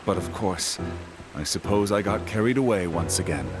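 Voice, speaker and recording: A man's voice: sits low, expressive, moderate pace, over loud music. A man playing an exuberant courtly retainer in a video game, with a flowery, formal and effusive way of talking. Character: exuberant, courtly male retainer, easily carried away.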